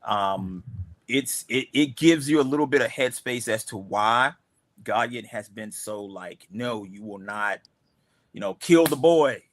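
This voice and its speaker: A man's voice: medium pitch, lively intonation, unhurried. A man, the creator of a comic, chatting casually with his cast on a livestream, rambling with lots of fillers and restarts. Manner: rambling, many fillers and restarts, laughs often. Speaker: creator of the comic, the cast's boss.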